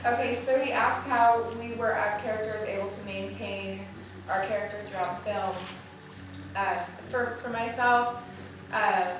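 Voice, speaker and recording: A woman, a film actress, answering a panel question with halting, deliberate phrasing in a movie theatre Q&A. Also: medium pitch, fairly even intonation. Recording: distant, very echoey theatre, noisy.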